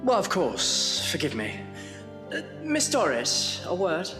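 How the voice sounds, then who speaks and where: A man acting a kindly, courteous gentleman in a period audio drama, earnest and a little hesitant. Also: high voice, huge pitch swings, slow, heavily acted. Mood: polite, hesitant. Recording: echoey hall reverb, faint music.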